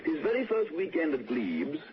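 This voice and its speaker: Kindly formal father, expressive intonation, deliberate, probing, 1960s radio suspense, muffled vintage recording. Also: on the high side. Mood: earnest, reassuring. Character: genteel, reassuring father and confidant, probing kindly. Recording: muffled old radio drama with faint noise.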